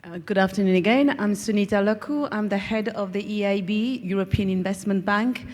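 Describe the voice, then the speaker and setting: A woman's voice: mid-range voice, brisk, a little mellow. A woman executive giving a professional self-introduction at a meeting. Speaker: regional head at a bank. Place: large reverberant meeting room. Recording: reverberant hall, faint noise and clicks.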